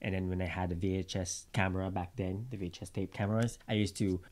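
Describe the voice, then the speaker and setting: Болтливый мужчина с филиппинским акцентом. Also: male voice, low-pitched, steady tone, quick pace, a little mellow. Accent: Filipino American. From United States (grew up in Los Angeles). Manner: chatty, laughs often, rambles through stories. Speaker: YouTube history channel host. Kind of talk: casual interview chat.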